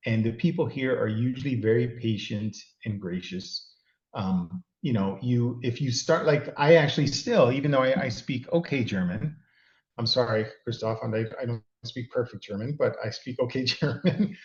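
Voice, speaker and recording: A man's voice: mid-range voice, moderate pace, reverberant, highs cut off. A middle-aged man from California, a guest giving rambling, self-deprecating advice in a casual chat. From California, USA. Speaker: interview guest.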